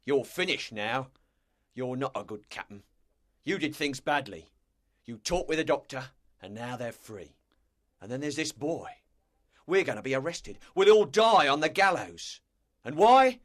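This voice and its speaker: A man's voice: medium pitch, expressive, a little slow, long pauses, pitched above the performer's own voice, natural voice. A man voicing a hostile, mutinous pirate crewman in an audiobook, blunt, menacing and accusatory. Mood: accusing. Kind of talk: audiobook character voice.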